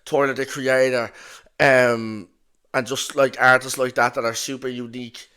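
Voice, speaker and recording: A man's voice: medium pitch, little pitch movement, normal speed, sharp tone, clean tone. Sweary Dublin man hosting a podcast. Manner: rambling, sweary, lots of "like". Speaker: podcast host. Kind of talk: casual chat.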